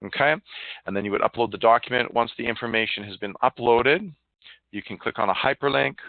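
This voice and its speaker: A man giving a live online software demonstration, explaining informally and haltingly over a conference call. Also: medium pitch, vocal fry, no room sound. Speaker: software demo presenter. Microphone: phone or web conference call. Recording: narrow phone-like line.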